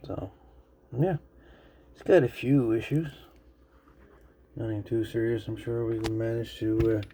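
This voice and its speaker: A man giving a casual, unscripted show-and-tell as a video host, musing haltingly and trailing off as he goes. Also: fairly low pitch, fairly even intonation, mellow, slightly rough. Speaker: host of a radio repair channel.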